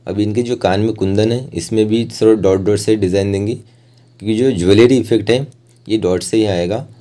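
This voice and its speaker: A man's voice: on the low side, steady tone, moderate pace, dark tone. A man giving an informal, plain step-by-step art tutorial as a voice-over instructor.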